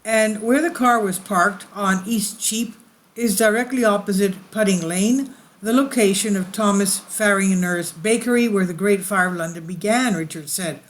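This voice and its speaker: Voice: mid-range voice, normal speed. Host reading a news story aloud. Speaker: video channel host.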